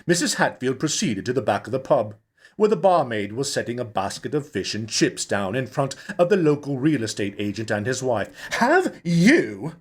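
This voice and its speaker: A man reading a novel aloud as narrator, in an expressive, theatrical storytelling style. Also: mid-range voice, a little fast, breathy edge. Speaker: audiobook narrator, actor. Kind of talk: dramatic scripted novel narration.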